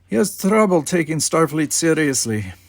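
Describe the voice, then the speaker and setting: A man's voice: a little high, very animated, moderate pace, no echo, voice pushed up. A man voicing a stern male Russian starship officer in an audiobook, with a Russian accent and a matter-of-fact, instructing manner. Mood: matter-of-fact, critical.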